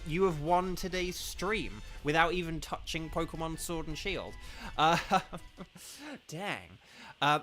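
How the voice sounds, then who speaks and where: Casual game streamer, young man, bright and a touch high, chatty and rambling. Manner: chatty, rambling with many fillers, reads and answers chat. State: slightly croaky from eating. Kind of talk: casual gaming livestream chat.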